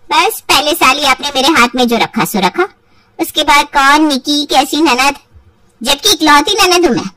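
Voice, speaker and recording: A woman plays a taunting sister-in-law in a cartoon family story. Her voice is high and complaining, full of theatrical sarcasm. It is dry, with the microphone a little way off. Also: pushed and bright, no echo, recorded from a distance, poor audio. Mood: sarcastic taunting. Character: spiteful, whining married younger sister-in-law, forever taunting. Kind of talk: acted Hindi moral story for cartoon.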